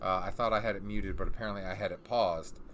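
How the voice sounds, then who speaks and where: A man's voice: low voice, fairly even intonation, quick pace, a bit bright, slight background noise. A man hosting a casual, unscripted countdown video at home, rambling and correcting himself as he goes. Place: room at home.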